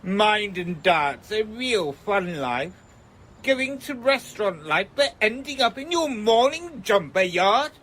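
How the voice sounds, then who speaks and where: A woman's voice: mid-range voice, very animated, slow pace, fairly bright tone, slight background noise. Woman as wildly swooping ranting street doomsayer. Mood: agitated, accusing. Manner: declamatory, rambling. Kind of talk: theatrical audio drama monologue.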